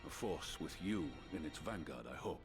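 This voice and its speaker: An actor playing an earnest, authoritative military leader in a game cutscene, with formal, commanding speech. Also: male voice, rumbling low, lively intonation, normal speed, over music. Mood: earnest, urging. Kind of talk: video game cutscene voice acting.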